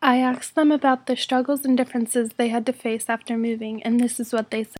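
A young woman reading a scripted narration in a plain, even manner. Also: fairly high pitch, fairly quick. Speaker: student narrator.

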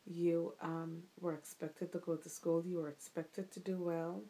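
A woman's voice: fairly low pitch, level tone, a little slow, mellow, low-quality recording. A middle-aged woman from Guyana, interviewed by her child, answering thoughtfully with many ums and restarts. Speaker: interviewee, the host's mother. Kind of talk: casual recorded interview.

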